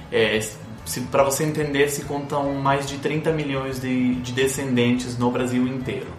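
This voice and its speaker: This Italian-accented man from Sicily talks as an interview guest, pausing often and restarting his sentences. He is recorded in an echoey room, with faint music behind him. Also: medium pitch. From Sicily, Italy. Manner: hesitant, many fillers and restarts. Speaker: guest, citizenship consultant. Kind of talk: casual interview chat.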